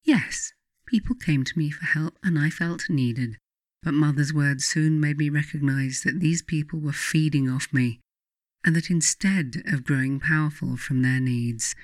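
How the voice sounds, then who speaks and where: Female narrator for reflective audiobook and guided meditation; measured, deliberate, pausing often, very dry sound. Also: deep voice, no room sound. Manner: measured, deliberate phrasing with frequent pauses. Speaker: author reading own book, guided meditation narrator.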